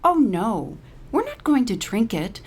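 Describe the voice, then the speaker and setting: A woman's voice: fairly high pitch, wildly swooping pitch, measured pace, darkish tone, pitched above the performer's own voice. An earnest hippie art teacher as a female narrator puts her on in a comic audio story. She speaks politely, a bit flustered, as if addressing her class. The recording has noticeable noise.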